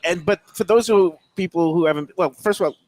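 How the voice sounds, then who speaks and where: A man's voice: high-pitched, a little fast, darkish tone. A man hosting a live food TV segment from a restaurant, chatting casually and asking follow-up questions. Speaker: TV food show host.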